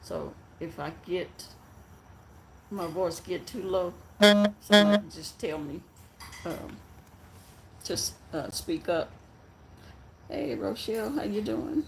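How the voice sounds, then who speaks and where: A soft-voiced live-stream host chatting casually with viewers in a rambling, halting way before a story reading. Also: medium pitch, animated, creaky, slight background noise. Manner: rambling and halting, with many fillers and trailing sentences.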